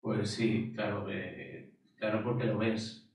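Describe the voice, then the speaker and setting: A man from Spain telling a history story in a relaxed talk, animated and acting out quoted voices. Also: lowish voice, takes it slowly, gravelly. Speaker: guest speaker. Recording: echoey room, distant mic.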